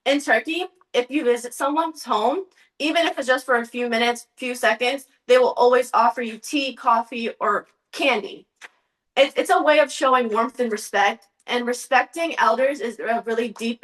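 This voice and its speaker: A woman's voice: fairly high pitch, normal speed, fairly bright tone. Earnest young woman giving class slides talk. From United States. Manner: earnest, occasional restarts and ums. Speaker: student presenter.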